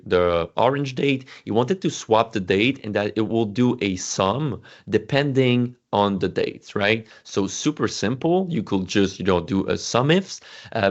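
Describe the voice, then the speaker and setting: A man's voice: on the low side, highs cut off. A man whose first language is French, walking through a spreadsheet demo in a casual, self-correcting way with lots of fillers and restarts. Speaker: Excel instructor presenting. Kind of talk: casual screen-share walkthrough.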